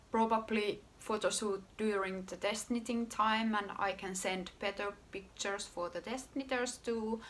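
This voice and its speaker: A woman from Finland with a Finnish accent, hosting her own podcast about her knitwear design work in an unhurried, casual way. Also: mid-range voice, sharp tone. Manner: unhurried, pausing often with fillers and restarts. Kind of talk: casual solo podcast talk.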